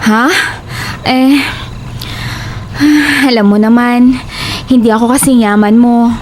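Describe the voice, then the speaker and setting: Woman playing a high-pitched, breezy best friend. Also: fairly even intonation, slow pace, slight background noise. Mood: breezy, caring. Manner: breezy, teasing, sharp-tongued. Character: chatty, blunt young woman, a loyal best friend. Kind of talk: audio drama dialogue.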